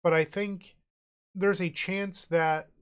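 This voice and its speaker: A man's voice: high voice, steady tone, slow pace, smooth. A man with a cold, sounding congested and nasal, casually narrating his online card game move by move as he thinks aloud. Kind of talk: casual gameplay commentary.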